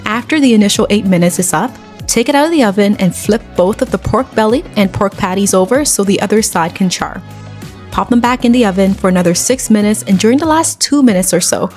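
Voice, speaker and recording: Female cooking-channel host, chatty and conversational, mid-pitched voice, faint music underneath. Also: a little mellow. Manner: chatty and conversational, with personal asides. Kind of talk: cooking tutorial with personal chat. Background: faint background music.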